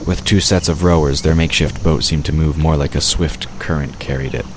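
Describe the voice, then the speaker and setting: A man's voice: very deep voice, fairly even intonation, brisk, background noise. A man reading scripted fantasy story narration as the narrator of an audio drama.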